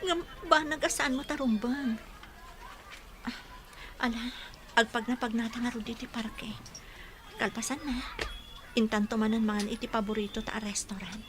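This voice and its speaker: An actress playing an emotional, soft-hearted young daughter in an Ilocano radio drama, earnest, with an Ilocano Filipino accent. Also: female voice, a little high, fairly even intonation, moderate pace, pauses often, noisy background, natural voice. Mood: subdued, wistful.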